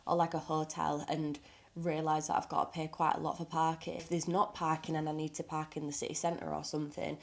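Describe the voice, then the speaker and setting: A woman's voice: low-pitched, little pitch movement, a little fast, gravelly. A woman, a makeup artist, chatting in a casual reaction vlog, blunt and outspoken, sounding nasal from a cold.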